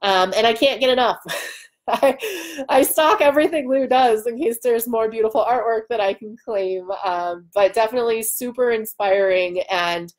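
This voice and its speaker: A woman's voice: fairly high pitch, moderate pace. A woman in her thirties hosting a casual livestream, chatting enthusiastically and with self-deprecating humor as she answers viewers. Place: indoor room at home by a window.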